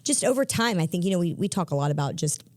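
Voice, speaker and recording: A woman pastor talking casually on a church podcast, chatty and quick to laugh. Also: on the low side, big pitch swings, darkish tone, dry recording, studio quality.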